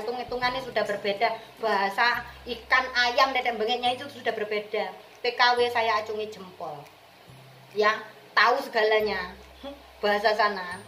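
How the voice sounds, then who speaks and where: A woman's voice: mid-range voice, bright, forceful tone, slight background noise. A woman from Indonesia with an East Javanese accent, chatting casually and colloquially to her viewers in slangy, direct address. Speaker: vlogger, returned migrant worker. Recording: roomy echo with music behind.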